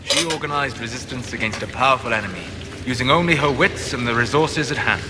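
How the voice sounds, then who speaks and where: An actor playing a calm, scholarly elven mage in a video game, speaking in a measured, formal and articulate way. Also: male voice, mid-range voice, natural voice. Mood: composed, wry. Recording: game audio with background noise.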